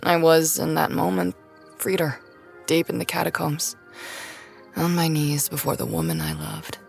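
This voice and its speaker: Low-voiced, gravelly, nerdy woman. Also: very animated, faint music behind, full character voice. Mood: tender, awkward. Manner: halting, blunt, casually profane. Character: nerdy, foul-mouthed, smitten adult woman, self-conscious, hot-tempered. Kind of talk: naturalistic audio drama acting.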